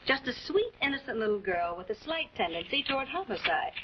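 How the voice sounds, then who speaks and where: Wry girl investigator for 1940s TV mystery, American, animated, muffled sound. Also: fairly high pitch, brisk. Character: perky, wry young female assistant investigator. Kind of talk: 1940s television crime drama acting. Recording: muffled old 1940s film soundtrack with hiss.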